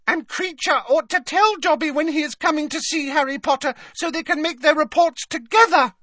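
A man voicing a small, excitable, devoted male house elf with a squeaky, earnest voice in an audiobook. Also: moderate pace, dry recording, studio quality. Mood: proud, eager. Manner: speaks of himself in the third person, earnest.

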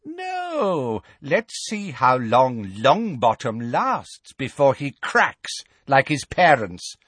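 A man voicing a cruel, gleeful witch who taunts in a high, mocking voice. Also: wildly swooping pitch, slow pace, a bit bright, husky, no room sound, full character voice. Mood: gleeful cruelty.

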